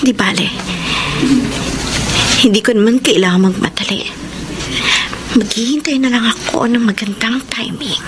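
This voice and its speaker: A Filipino actress playing a troubled, torn young mother in a radio drama, soft and hesitant. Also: fairly high pitch, unhurried, pauses often, background noise, close to an everyday voice. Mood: worried, hesitant.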